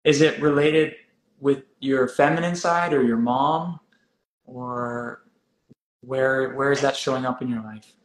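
A man in his thirties hosting a live wellness stream, calm and gently guiding, with slow deliberate phrasing. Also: mid-range voice, roomy sound, poor audio. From South Africa, raised in Canada. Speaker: wellness host. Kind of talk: live-stream coaching chat. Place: room indoors.

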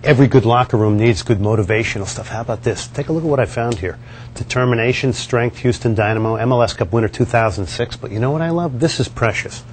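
A man's voice: medium pitch, fast talker, a little hiss or hum. A man, a sports TV host giving a chatty walking tour of a locker room on a lapel mic. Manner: upbeat, chatty, pointing things out as he walks. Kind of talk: walk-through tour presenting. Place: indoor locker room and hallways.

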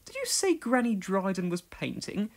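A woman's voice: mid-range voice, wildly swooping pitch, voice pushed up. A woman voicing a fussy, flustered older village lady who chatters primly and frets easily. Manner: prim, chattering, quick to fret.

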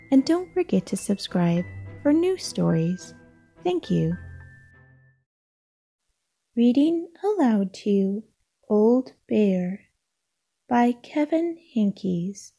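Woman reading a bedtime story, real mellow and breathy, gentle and calming. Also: mid-range voice. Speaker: storybook read-aloud narrator.

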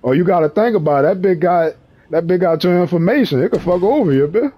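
A man's voice: sits high, animated, fast talker, dull audio. A man in casual chat, talking in heavy slang with lots of swearing and frequent laughter. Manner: slangy, profane, laughs often.

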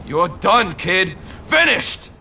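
An actor playing a hot-headed, threatening high-school gang rival in a video game, aggressive and emotional. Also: male voice, big pitch swings, slow, creaky, very noisy, heavily acted. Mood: enraged. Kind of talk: video game cutscene acting.

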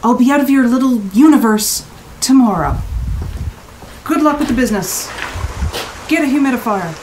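An actress playing a blunt, exasperated rental guest in an audio drama, with emphatic, clipped phrases. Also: female voice, high-pitched, animated, normal speed, noisy background. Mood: scornful, blunt. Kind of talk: naturalistic audio drama acting.

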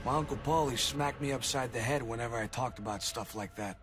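A man's voice: medium pitch, brisk, background noise, lightly acted. An actor playing a brooding, bitter young mafia hitman in an intimate, streetwise video game monologue. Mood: wry, brooding. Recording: loud music behind the voice.

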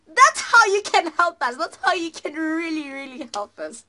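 A young woman with a South African accent, giving informal, satirical political commentary to camera in an animated, emphatic, conversational way. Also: extremely high pitch, moderate pace. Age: young adult. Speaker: host of a political commentary show. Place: small indoor room, plain dark wall.

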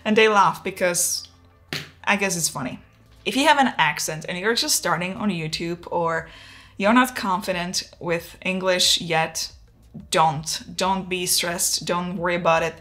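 A woman's voice: lowish voice, measured pace, faint music behind. A woman in her forties from the Czech Republic with a Czech accent, chatting casually and laughing at herself as a photography vlogger, recorded on a lapel mic in a home studio. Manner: relaxed, chatty, self-deprecating, gestures with her hands. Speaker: photography YouTuber. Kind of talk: casual talking-head vlog. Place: home studio room, indoors. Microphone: wireless lapel mic.

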